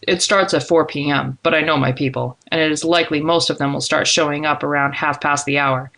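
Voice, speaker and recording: Woman voicing a low, friendly, disarming young man. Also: brisk. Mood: warm, easygoing. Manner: calm, reassuring, casual. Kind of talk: audiobook character dialogue.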